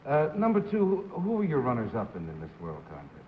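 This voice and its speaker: A man's voice: medium pitch, huge pitch swings, fairly quick, phone-quality sound, very noisy. A man on a 1950s game show panel questioning contestants in a wry, joking manner, in echoey old broadcast audio. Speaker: celebrity panelist. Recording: echoey old broadcast with loud studio audience.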